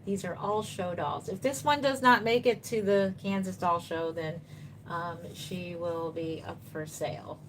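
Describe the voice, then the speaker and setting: A woman's voice: lowish voice, measured pace, slight background noise, rough recording. A woman in her fifties, a doll artist chatting casually on a live stream, reading viewer comments and answering them reassuringly while she paints. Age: 50s.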